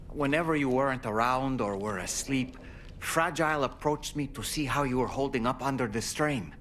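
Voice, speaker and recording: Actor as an earnest man, medium pitched. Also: a bit bright, natural voice. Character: earnest, solemn male confidant in a game. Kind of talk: video game cutscene dialogue. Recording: faint background noise.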